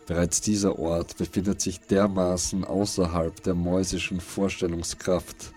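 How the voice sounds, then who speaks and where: A man reading scripted literary narration for a dystopian radio play in a measured, grave storytelling manner. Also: sits low, little pitch movement, darkish tone. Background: prominent music behind the voice.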